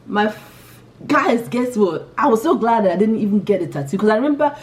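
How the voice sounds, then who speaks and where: A woman's voice: a little high, lively intonation, brisk, distant mic. Chatty Nigerian woman, a bit off-mic. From Nigeria, Imo State. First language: Igbo. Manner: chatty, giggly, lots of restarts and "yeah". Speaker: vlogger. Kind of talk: casual chat answering a tag list.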